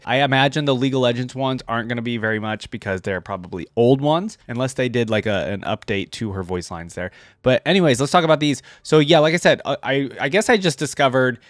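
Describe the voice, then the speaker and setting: A man's voice: medium pitch, animated, fairly quick, no room sound, studio quality. A young man hosting a reaction video, giving informal, off-the-cuff commentary on a game in a conversational way.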